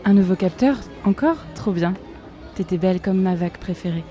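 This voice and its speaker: A woman acting a hesitant, dreamy sea-dweller in an audio fiction, musing and questioning softly to herself. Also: lowish voice, lively intonation, normal speed, faint music behind, a faint crowd, close to an everyday voice. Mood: curious. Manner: musing, questioning, soft-spoken.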